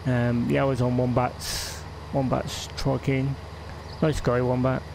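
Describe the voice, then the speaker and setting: Slow, gravelly West Country man. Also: medium pitch, completely flat delivery, background noise. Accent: West Country English. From England. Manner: rambling, chatty, laughs often. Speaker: game streamer. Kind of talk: casual live-stream chat.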